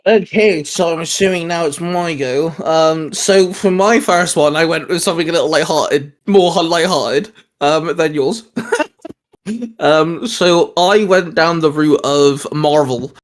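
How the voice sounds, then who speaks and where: A man chatting casually as a host pitching geeky ideas, rambling and restarting his sentences as he goes. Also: unhurried. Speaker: host of a comics discussion show.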